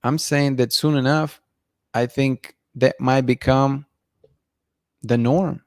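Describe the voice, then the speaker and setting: A man in his thirties hosting a night-time livestream, bluntly giving his opinions and reading chat comments aloud, his voice going hoarse. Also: mid-range voice, fairly even intonation, slow, no echo, studio quality. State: losing his voice, clearing throat. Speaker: livestream host. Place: dim room at night, indoors. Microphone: studio mic in front of him.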